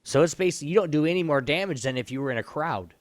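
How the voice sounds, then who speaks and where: A man's voice: a little high, lively intonation, very fast, dry recording. A man co-hosting a gaming show, talking casually in an opinionated, slangy way.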